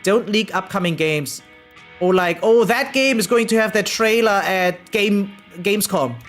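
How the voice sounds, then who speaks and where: A woman's voice: mid-range voice, unhurried, soft background music. A woman doing casual livestream commentary as a game streamer, emphatic and opinionated, speaking informally with slang.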